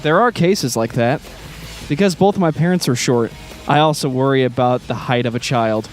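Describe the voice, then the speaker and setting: Wry guy cohosting a music podcast, normal pitch voice, casual, quiet music in background. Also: normal speed. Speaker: podcast co-host.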